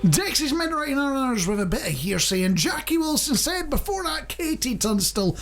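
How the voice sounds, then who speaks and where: Animated, jokey male radio host. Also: extremely high pitch, moderate pace, soft background music. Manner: jokey, rambling, addresses the listener directly. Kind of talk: chatty radio presenting.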